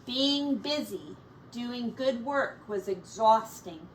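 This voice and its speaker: A woman teacher reading a children's story aloud, explaining things gently and simply as she goes. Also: a little high, lively intonation, takes it slowly, far from the mic, a little hiss or hum, low-quality recording.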